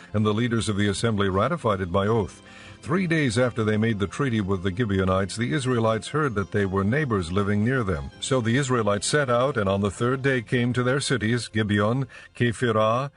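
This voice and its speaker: A man giving a measured, solemn scripted narration for a dramatized audio Bible. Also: fairly low pitch.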